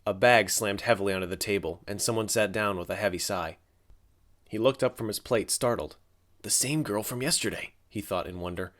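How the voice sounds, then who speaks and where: A man's voice: on the low side, brisk, a bit bright. A young man reading scripted fiction as an audiobook narrator.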